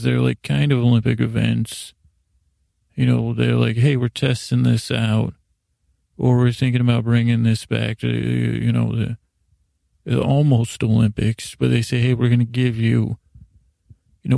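A man hosting a sleep podcast, rambling in a halting, self-interrupting way with silly, self-deprecating asides that trail off mid-thought. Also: medium pitch, creaky, warm tone, no echo, clean studio sound. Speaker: podcast host.

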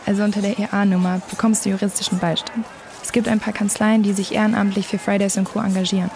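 An actress playing a caring, practical young activist in a naturalistic audio drama, reassuring and casual in manner. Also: female voice, medium pitch, flat delivery, quick pace, darkish tone, a little hiss or hum, natural voice.

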